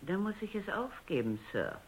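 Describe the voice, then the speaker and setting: A woman's voice: mid-range voice, sing-song, moderate pace. An actress playing a stern, analytical woman scientist in a radio drama, speaking with precise, controlled authority. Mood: resigned, analytical. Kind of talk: radio drama narration and dialogue. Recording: dull, lo-fi radio drama recording.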